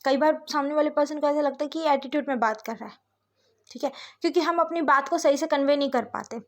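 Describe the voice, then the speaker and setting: A woman with an Indian accent and a high-pitched voice delivers a lesson to students. She explains point by point as a teacher does, moving between Hindi and English. She often stops briefly to check that her listeners are following. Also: quick pace.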